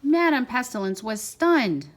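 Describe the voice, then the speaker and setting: A woman with a fairly high voice reads a picture book aloud, as a parent would to a child. Her storytelling is expressive, with key words given extra stress. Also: a little slow.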